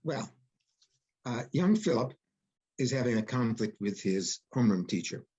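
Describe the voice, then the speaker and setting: A man's voice: mid-range voice, lively intonation, normal speed, dark tone, slightly rough, dull audio. A man speaking as an interviewed author and producer, explaining things reflectively with folksy turns of phrase. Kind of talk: interview conversation.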